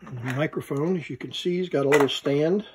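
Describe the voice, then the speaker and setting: A man who collects vintage gear gives a casual, unscripted hands-on demonstration, thinking aloud in halting short fragments. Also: fairly high pitch, noisy background. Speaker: vintage electronics collector presenting his item.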